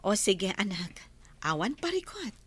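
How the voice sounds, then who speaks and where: An actress with an Ilocano accent playing a gentle, indulgent mother in a radio drama. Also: medium pitch, very animated, measured pace, slightly rough, full character voice. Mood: caring. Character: gentle, indulgent mother talking with her child.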